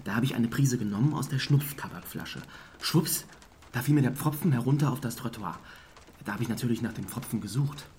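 A man's voice: mid-range voice, a little fast, darkish tone, natural voice. Radio play: actor as small-town male informant, confiding half-whisper, talkative, faint ambience behind. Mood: eager, confiding. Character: talkative small-town male eyewitness, eager, deferential, rambling. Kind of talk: German radio drama acting. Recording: faint background noise and ambience.

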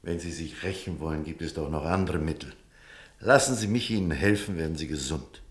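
An actor playing an earnest doctor in a German radio drama, speaking with urgent, firm reasoning. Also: male voice, rumbling low, brisk. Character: earnest male doctor recounting and pleading with a patient. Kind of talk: German radio drama acting and first-person narration.

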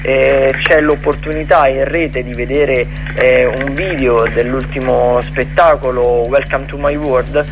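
A man's voice: mid-range voice, fairly even intonation, measured pace, few pauses, music in the background, rough recording. A man co-hosting a radio show, giving casual event listings in a rambling, hesitant way. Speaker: radio co-host.

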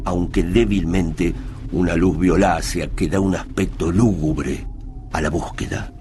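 A man's voice: low-pitched, fairly even intonation, unhurried, background noise. A man narrating a radio drama from a script in a solemn, intense, dramatic manner. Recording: clear music under the voice.

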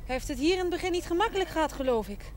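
An actress playing a polite, practical and candid young secretary in a 1970s Dutch television drama, direct and composed. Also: female voice, extremely high pitch, expressive, rapid-fire, a little mellow. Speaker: actor in a television drama series. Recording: noisy old television soundtrack.

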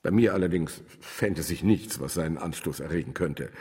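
A dignified, touchy professor in a radio play. His voice is very low and husky, formal and measured, carrying in a long reverberant hall. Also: darkish tone. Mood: touchy, anxious. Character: dignified, touchy Viennese philosophy professor chairing a discussion. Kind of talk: German radio drama acting.

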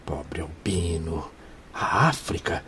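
A man's voice: a bass voice, animated, takes it slowly, a bit bright, slight background noise, heavily acted. An actor playing an anxious, pleading male spirit in a Brazilian radio drama, theatrical and deferential. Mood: pleading, sorrowful.

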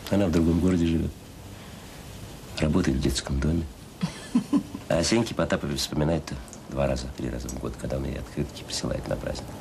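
An actor playing a rueful middle-aged factory manager in a radio drama, talking in a colloquial, hesitant, confiding way. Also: male voice, extremely low pitch, quick pace, gravelly, pauses often. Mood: rueful, wistful. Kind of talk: radio drama acting, intimate conversation. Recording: hissy old audio play recording.

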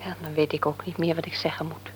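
A husky, worried young wife. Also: female voice, low-pitched, little pitch movement, quick pace. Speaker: married daughter. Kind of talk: radio drama acting.

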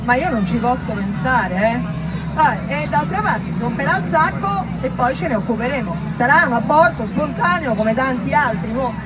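A woman playing a hurried, pious male head physician in a stage monologue, brusque and evasive. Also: high-pitched, expressive, a loud crowd, heavy background noise. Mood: callous, musing. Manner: brusque, dismissive, hedging. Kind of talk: theatrical monologue dialogue.

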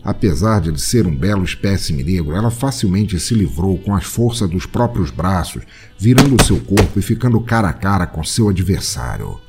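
A man's voice: sits low, sing-song, moderate pace, hardly any pauses, over loud music. A man delivering scripted narration as the storyteller of an audio drama.

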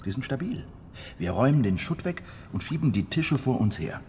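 A man's voice: medium pitch, big pitch swings, a little fast, dark tone, slight background noise. A man playing a confident criminal planning a heist in a radio crime drama, explaining in an assured, matter-of-fact way.

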